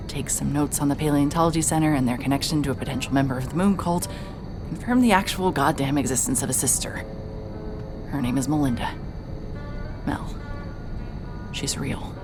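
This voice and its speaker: A woman's voice: low-pitched, a little fast, music in the background, close to an everyday voice. An actress playing a dogged, awkward female private investigator in a cinematic audio drama, moving between brooding narration and hesitant dialogue. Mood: brooding, tense.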